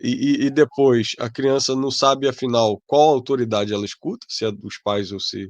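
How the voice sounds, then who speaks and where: A man's voice: medium pitch, steady tone, normal speed, dry recording, highs cut off. A man with a Brazilian Portuguese accent, a missionary parent, answering an interview in a hesitant way and repeating words as he searches for them.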